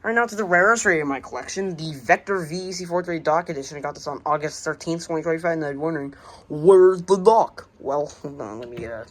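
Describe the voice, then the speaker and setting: An American woman casually showing a hobby collection, speaking in a halting, unscripted, plain way on an amateur home recording. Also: sits low. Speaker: radio collector presenting the collection. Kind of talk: casual hobby show-and-tell narration.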